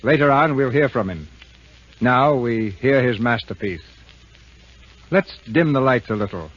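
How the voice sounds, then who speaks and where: A man's voice: mid-range voice, lively intonation, unhurried, a bit bright. A man hosting and narrating a radio drama in formal, grandiloquent 1930s scripted style. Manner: grandiloquent, oratorical. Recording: muffled, husky-sounding old radio broadcast.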